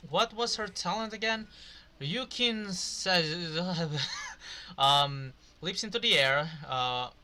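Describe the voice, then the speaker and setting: A man's voice: high-pitched, lively intonation, slow pace, sharp tone. A man doing casual, rambling gameplay commentary, swearing now and then. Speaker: video game streamer.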